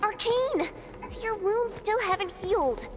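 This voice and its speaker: A woman's voice: very high-pitched, lively intonation, measured pace, slight background noise. A woman voicing an anxious, caring young female subordinate in a video game, urgent and earnest. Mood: alarmed, worried. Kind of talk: scripted video game dialogue.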